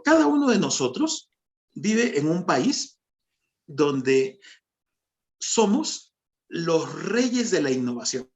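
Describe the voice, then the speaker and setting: A man in his thirties from Peru with a Peruvian Spanish accent, speaking as a panelist in measured, explanatory remarks and repeating phrases for emphasis. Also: a little high.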